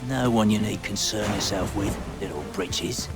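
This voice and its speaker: An actor playing a cocky, condescending ruffian with quiet menace in a video game. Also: male voice, on the low side, normal speed, music in the background, natural voice. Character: condescending, low-voiced male ruffian. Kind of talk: video game dialogue acting.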